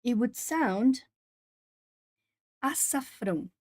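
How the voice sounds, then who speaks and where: A woman with a southern Brazilian Portuguese accent talks casually to her stream as she colours, reading out viewers' comments and stopping to gather her thoughts. Her voice sits at a middle pitch. The recording is close and dry, with no room echo. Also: animated, darkish tone. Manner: chatty, reads chat comments aloud, pauses to think. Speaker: art streamer. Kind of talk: casual chat while colouring.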